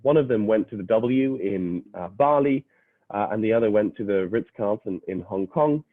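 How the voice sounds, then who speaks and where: A man's voice: fairly low pitch, animated, normal speed, no room sound. Man, young adult, half British, explanatory presenter, phone-like sound, dry. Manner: explanatory, many filler uhs and restarts. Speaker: university admissions representative. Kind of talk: online presentation to prospective students.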